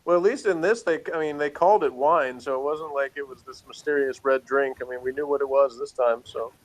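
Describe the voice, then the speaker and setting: A man's voice: medium pitch, fairly quick, rough recording. A man chatting casually as he reviews TV, often chuckling as he talks. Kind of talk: casual conversational review chat.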